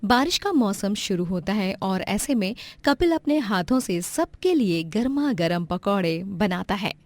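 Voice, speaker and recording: Female narrator for scripted moral bedtime stories; medium pitch, dry sound. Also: lively intonation, measured pace, no echo.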